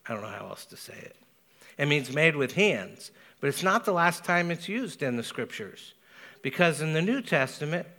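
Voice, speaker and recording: Bright-voiced preacher with a Spanish accent. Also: male voice, on the high side. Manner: earnest, measured preaching with emphatic slow stresses. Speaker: pastor. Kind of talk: sermon.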